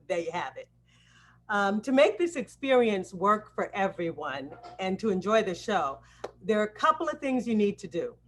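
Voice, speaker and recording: A woman giving a welcome address as host on a live video call, with deliberate, emphatic phrasing. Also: medium pitch. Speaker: acting coach hosting a student presentation.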